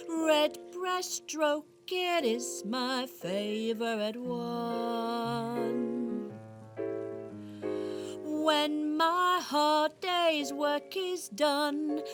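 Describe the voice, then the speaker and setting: A woman voicing a grumbling, theatrical, shivering robin in a children's radio play, with exclamatory complaints. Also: high voice. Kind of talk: children's radio play acting, partly sung.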